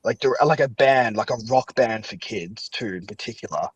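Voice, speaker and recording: A man's voice: fairly low pitch, lively intonation, moderate pace, breathy edge, no echo. Casual, rambling man on a podcast. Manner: rambling, opinionated, frequently qualifies his points. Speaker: podcast guest. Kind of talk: casual chat.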